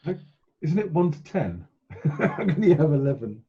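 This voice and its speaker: A man's voice: fairly high pitch, sing-song, moderate pace. A man playing a tabletop game, chatting casually, on dull lo-fi audio.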